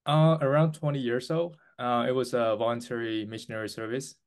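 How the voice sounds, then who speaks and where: A man's voice: mid-range voice, steady tone. Earnest young Taiwanese-born man. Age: 20s. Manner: earnest, halting with restarts and fillers. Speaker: guest. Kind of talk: interview answers.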